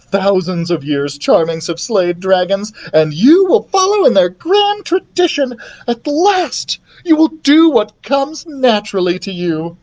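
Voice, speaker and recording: A woman voicing a doting, excitable storybook queen who gushes in drawn-out exclamations. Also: low voice, pitched above the performer's own voice. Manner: gushing, drawn-out exclamations, talks over others. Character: excitable, gushing, doting storybook queen mother, hard of hearing.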